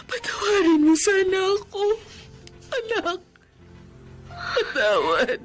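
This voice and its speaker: A woman's voice: very high-pitched, huge pitch swings, slow, soft background music, full character voice. An actress playing a middle-aged mother-in-law in a radio drama, tearful and pleading for forgiveness. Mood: remorseful. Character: remorseful bedridden mother-in-law, woman, older.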